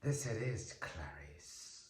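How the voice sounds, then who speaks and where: A roomy, slightly distant recording of a man doing theatrical impressions as he recites dramatic verse. His delivery is exaggerated and widely swung in pitch, with drawn-out, unhurried phrasing. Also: animated, heavy background noise. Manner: theatrical, exaggerated, shifting between put-on character voices. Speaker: celebrity and character impressionist. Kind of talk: dramatic poetry recitation in many impersonated voices.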